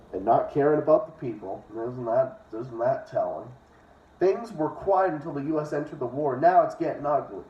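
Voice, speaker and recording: A man reading a book aloud and breaking in with opinionated, sometimes profane commentary. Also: fairly high pitch. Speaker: online reader and commentator. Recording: echoey room, distant dull microphone.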